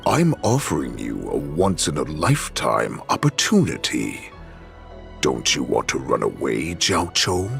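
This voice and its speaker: A man voicing a menacing, commanding alpha wolf warlord in a video game, gravelly, imperious and mocking. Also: a bass voice, wildly swooping pitch, unhurried, a bit bright, faint music behind, full character voice. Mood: taunting. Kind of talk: dramatic video game voice acting.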